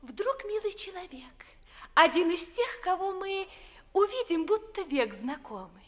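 Young noblewoman in love, high-pitched, dreamy, headstrong, theatrical verse, 1950s radio drama. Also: unhurried, heavily acted. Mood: breathless, dreamy. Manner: expressive, declaimed verse.

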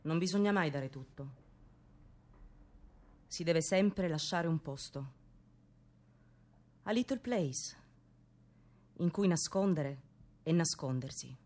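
Slow-speaking, lonely, wounded woman. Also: low-pitched, expressive, warm tone, full character voice. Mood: hushed, wounded. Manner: slow, deliberate, broken into short phrases. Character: lonely, wounded, brooding woman waiting for her lover. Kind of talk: theatrical radio play monologue.

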